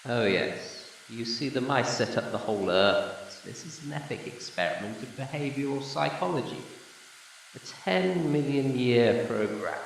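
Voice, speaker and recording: A man playing an ancient, formal alien planet designer in an amateur audio drama, speaking in measured, courteous, old-fashioned phrases. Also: mid-range voice, expressive, creaky. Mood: patient explaining. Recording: echoey room, distant mic, faint noise.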